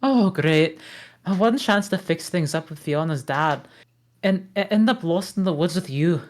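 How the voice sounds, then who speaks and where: A woman's voice: on the low side, expressive, a little slow, darkish tone. A woman reading the part of a grumpy but decent male ogre in a table read, with a grumbling, exasperated delivery.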